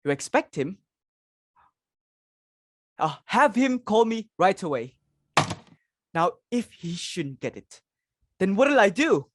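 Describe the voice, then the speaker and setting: A woman's voice: medium pitch, very animated, slow, pauses often, noisy background. A woman playing a fussy, social-climbing society hostess and mother, exclaiming, fretting and giving orders in a dramatic reading. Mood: flustered. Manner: exclamatory, fretful, commanding.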